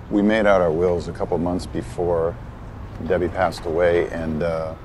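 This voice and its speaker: A man in his forties playing a grieving widower on a city rooftop, talking haltingly with many pauses. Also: low-pitched, noisy background. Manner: hesitant, halting, soft-spoken with many pauses. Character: grieving middle-aged widower, gentle and soft-spoken. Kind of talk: film drama scene with outtakes.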